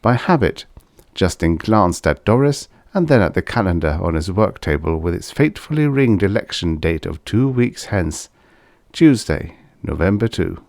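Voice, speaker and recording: Guy reading old sci-fi stories, gravelly and expressive, really dry recording. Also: sits low, normal speed, no echo. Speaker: audiobook narrator. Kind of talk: scripted narration of vintage science fiction.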